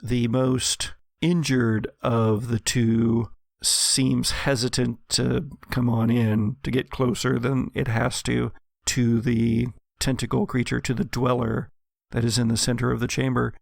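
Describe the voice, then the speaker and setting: A man with a creaky voice improvising dramatic, vivid narration as the game master of a tabletop horror game. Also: mid-range voice, flat delivery, unhurried, no room sound. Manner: dramatic, vivid second-person storytelling.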